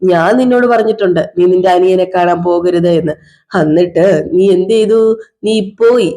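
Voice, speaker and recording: A woman voicing a drunk, self-pitying husband who accuses his wife in slow, repetitive, sarcastic phrases. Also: lowish voice, dark tone, lightly acted. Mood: accusing. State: drunk (as acted). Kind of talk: one-person domestic drama skit.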